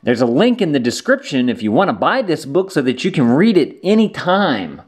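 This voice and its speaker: A man reading a children's storybook aloud as host, in an animated, deliberate way for young listeners. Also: a little high. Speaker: children's book reader and channel host.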